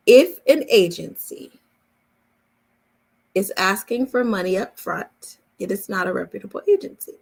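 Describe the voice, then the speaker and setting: A woman's voice: on the high side, gravelly, darkish tone, breathy edge, poor audio. A woman coaching in a casual question-and-answer chat, explaining emphatically point by point with repetition. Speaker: voice-over coach hosting a live Q&A.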